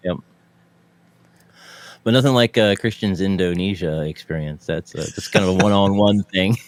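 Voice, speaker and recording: Deep voiced guy chatting on a panel, halting, repeats himself, dry sound. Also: no echo. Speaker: panelist on a talk show. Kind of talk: casual chat.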